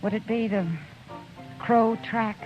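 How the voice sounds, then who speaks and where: A woman's voice: a little high, little pitch movement, slow pace. A woman acting a frank, loyal saloon woman in a 1950s western radio drama, direct and earnest in her delivery. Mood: concerned. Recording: dull old radio recording with music.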